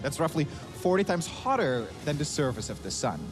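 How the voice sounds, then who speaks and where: A man's voice: fairly high pitch, very animated, brisk. A man presenting a science documentary with enthusiastic scripted narration. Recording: background music, played within a stream. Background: clear background music.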